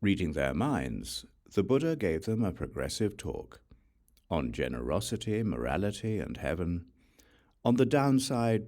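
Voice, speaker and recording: A man reading scripture as a scripted audiobook narration, with measured, deliberate storytelling delivery. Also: sits low, darkish tone.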